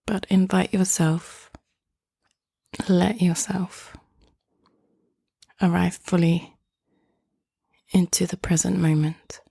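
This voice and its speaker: A woman hosting and leading a guided meditation in a soft, hushed, often half-whispered voice, with gentle and inviting phrasing. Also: lowish voice, little pitch movement, slow pace, gravelly, pauses often, breathy edge, no echo, clean studio sound.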